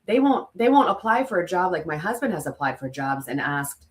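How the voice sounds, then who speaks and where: A woman's voice: fairly low pitch, sing-song, brisk. A woman co-hosting a podcast, telling stories emphatically in candid, conversational talk and often quoting herself and others. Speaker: podcast co-host, former TV news presenter.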